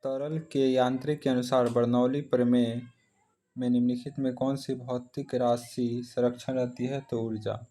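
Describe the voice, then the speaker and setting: A man's voice: medium pitch, flat delivery, normal speed, warm tone. An Indian man teaching exam practice, reading out questions and following each with a short answer.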